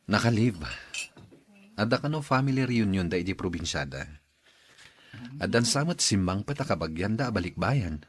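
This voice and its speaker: A man's voice: lowish voice, lively intonation, normal speed, long pauses. A man playing an easygoing young man in an audio drama, conversational and mildly defensive. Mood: casual defensive.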